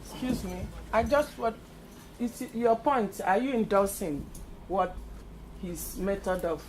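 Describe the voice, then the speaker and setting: A woman's voice: medium pitch, slow pace. A woman interjecting at a press conference, hesitantly pressing a speaker for an answer. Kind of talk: spontaneous questioning. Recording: background noise in a hall.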